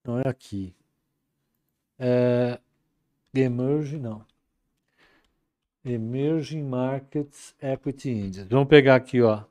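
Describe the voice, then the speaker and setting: A man hosting a casual live market commentary, relaxed and chatty, reading viewer comments aloud. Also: medium pitch, takes it slowly, pauses often. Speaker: market commentary livestream host.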